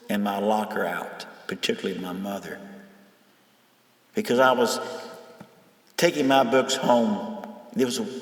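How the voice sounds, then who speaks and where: A man speaking as a storyteller and informal preacher, earnest and folksy, with emphatic pauses. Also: mid-range voice, husky. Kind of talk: dramatized first-person storytelling turning to informal sermon. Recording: music bed under the voice. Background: music.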